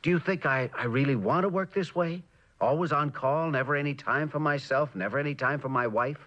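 An actor playing an earnest, driven scientist, intense and pleading, in mid-century TV drama style. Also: male voice, a little high, a little fast, fairly bright tone, lightly acted. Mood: exasperated. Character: earnest, burdened, driven project scientist and husband. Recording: dull old television soundtrack.

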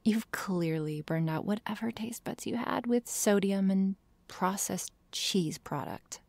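A woman's voice: medium pitch, creaky, darkish tone, no echo. A woman playing a prickly, sarcastic but secretly shy vampire girl in an ASMR roleplay, hesitant and sighing, often dropping to a whisper. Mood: defensive, hurt. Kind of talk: ASMR roleplay addressed to the listener.

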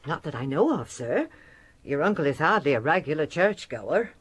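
An actress playing an anxious, respectful housekeeper in a radio drama, apologetic and deferential. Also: female voice, low-pitched, very animated, normal speed, slightly rough, no echo, heavily acted.

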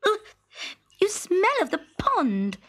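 High-pitched, petulant young princess. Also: female voice, huge pitch swings, slow, full character voice. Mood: disgusted, snappish. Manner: snappish, exclaiming. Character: spoiled young princess, squeamish, petulant and tearful. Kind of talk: storybook character acting.

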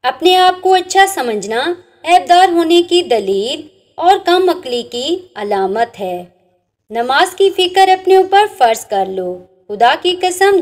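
A high-pitched woman recites inspirational religious sayings at an unhurried, deliberate pace. Her delivery is measured and lilting, rising and falling widely through each line. Every saying settles onto a lower, quieter close. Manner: measured recitation, each saying ends on a dropping, softer note. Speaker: narrator. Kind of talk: reading inspirational religious sayings over slides.

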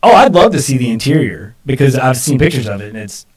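Guy early 40s chatting off the cuff on a livestream, normal pitch, bit far from the mic. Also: very animated, quick pace, a little mellow. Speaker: live stream co-host. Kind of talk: casual off-the-cuff live stream chat. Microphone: desk microphone.

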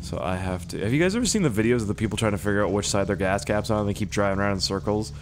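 A young man talks through his gameplay in a slightly low, hoarse voice that sounds worn out. He rambles loosely, swears freely and pokes fun at himself, as in a casual let's-play recorded on a desk mic. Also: lively intonation, very fast, noisy background. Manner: rambling, profane, self-mocking. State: hoarse, strained voice. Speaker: gaming YouTuber.

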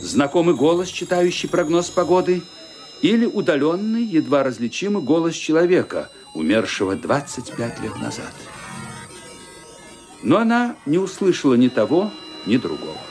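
A man's voice: on the high side, sing-song, moderate pace, a little mellow. A man narrating a radio play in a dramatic, suspenseful reading style. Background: music underneath.

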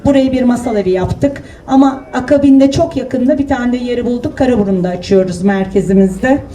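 A woman giving a plain public speech at an opening event, pausing with fillers. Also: fairly high pitch, warm tone, far from the mic.

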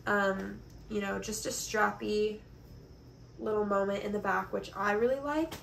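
A young woman with a slightly stuffed-up, congested voice talks in a chatty, informal vlog style. Her pitch is average for a woman. It is recorded on a phone in a bedroom, with clear background noise. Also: steady tone, a little slow, fairly bright tone, poor audio. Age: 20s. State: congested from allergies. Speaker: vlogger. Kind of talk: casual haul chat. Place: bedroom, indoors. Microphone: phone camera at about arm's length or further.

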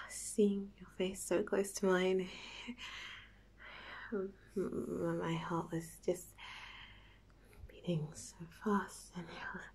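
A woman playing a nervous, giddy young woman in an ASMR roleplay, stammering softly and often whispering in a halting, giggly way. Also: on the low side, flat delivery, exaggerated voice. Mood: flustered, happy. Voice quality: soft, breathy, often whispered. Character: nervous, giddy young woman before and after first kiss.

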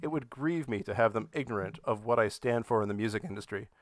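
A man's voice: on the low side, fast, no room sound, studio quality. A man on a podcast panel, chatting casually and reading aloud for comedy.

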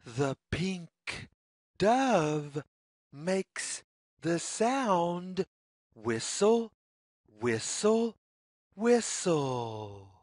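Very slow, lilting children's story reader. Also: medium pitch, very animated, darkish tone, no room sound, clean studio sound. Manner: deliberate, sing-song phrasing with pauses. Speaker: read-aloud narrator.

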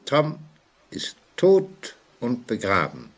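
An actor playing a composed, authoritative prison inspector in a vintage German radio play, formal, deliberate and patiently firm. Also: male voice, mid-range voice, huge pitch swings, exaggerated voice. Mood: firm, reassuring.

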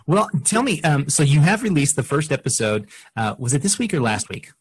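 A man's voice: fairly high pitch, big pitch swings, a little fast, husky, no room sound. A man hosting a podcast, chatting in an informal, laid-back way. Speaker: podcast host. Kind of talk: casual interview chat.

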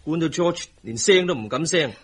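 Husky-voiced young husband. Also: male voice, a little high, lively intonation, moderate pace. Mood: amused. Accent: Hong Kong Cantonese. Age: young adult. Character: doting, quick-tempered young husband and elder brother. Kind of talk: radio drama acting.

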